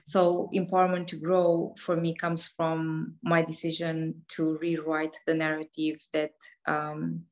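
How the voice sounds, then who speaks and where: A woman's voice: deep voice, completely flat delivery, a little slow. A woman in her thirties with a Romanian accent, a podcast guest talking casually with lots of laughs, fillers and restarts. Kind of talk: casual interview talk.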